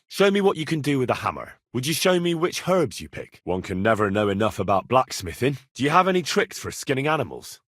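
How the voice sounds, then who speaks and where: An actor playing an earnest, inquisitive young medieval blacksmith turned adventurer in a video game, speaking in a plain-spoken way. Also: male voice, mid-range voice, husky, dry recording, studio quality. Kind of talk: video game dialogue lines.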